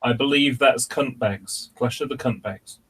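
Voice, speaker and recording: A man in his forties chatting casually as host of an online group call, with a hesitant, halting delivery. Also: mid-range voice, a bit bright, husky. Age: 40s.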